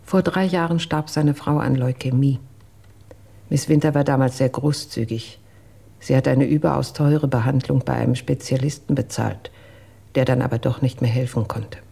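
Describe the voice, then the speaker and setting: A reserved household servant in a radio play gives measured testimony. Her voice is mellow and husky, and she chooses her words with care. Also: rumbling low, steady tone, full character voice. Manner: measured, careful recounting. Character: reserved, dutiful female household employee giving testimony. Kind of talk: German radio drama acting.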